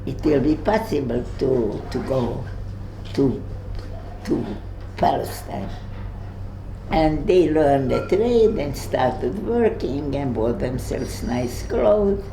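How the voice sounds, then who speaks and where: An older woman with a Hungarian accent, recounting memories to young visitors, halting and searching for words. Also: very deep voice, animated, roomy sound, background noise. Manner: halting, searches for words, many fillers and restarts. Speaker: Holocaust survivor speaking to visitors. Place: room in her home.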